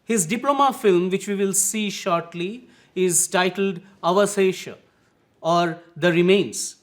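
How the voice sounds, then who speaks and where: Indian male interviewer, high voice, formal and measured. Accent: Indian English. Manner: formal, measured, with fillers when asking. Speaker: interviewer, host. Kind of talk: formal introduction and interview questions.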